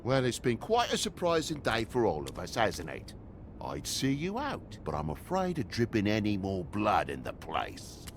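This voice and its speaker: Whiny British toad, swooping pitch. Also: male voice, wildly swooping pitch, normal speed, a little hiss or hum. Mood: sullen, defensive. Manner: whiny, indignant, slangy. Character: aggrieved middle-aged male toad, a grumbling shifty dad. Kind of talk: dramatic video game dialogue.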